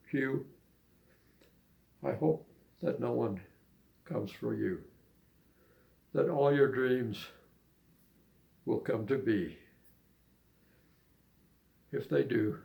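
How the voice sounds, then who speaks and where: An older man with plenty of gravel and fry in his voice. He takes his time, speaking slowly and haltingly, like an amateur poet sharing his own work and memories. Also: medium pitch, a little mellow. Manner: halting, unhurried delivery. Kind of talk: poetry reading and casual reminiscing.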